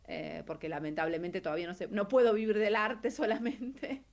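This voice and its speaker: A middle-aged Argentine woman talks as a guest in a casual interview. Her pitch is average for a woman. She explains things conversationally, often pausing mid-thought before carrying on. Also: fairly even intonation. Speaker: interview guest, actress and translator. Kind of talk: casual interview, telling career story.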